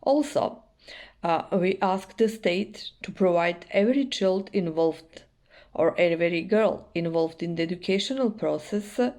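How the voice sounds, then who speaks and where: A woman's voice: fairly low pitch, expressive, unhurried, darkish tone. A woman, a human rights journalist, reading a prepared statement with emphatic rises on key words. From North Macedonia.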